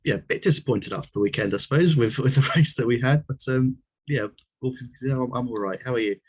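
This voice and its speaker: A man's voice: mid-range voice, fast, husky. A man with a cold who co-hosts a casual podcast over a video call, rambling through long answers full of restarts and small chuckles. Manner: rambling, with many fillers, restarts and small laughs. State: has a cold. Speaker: podcast co-host.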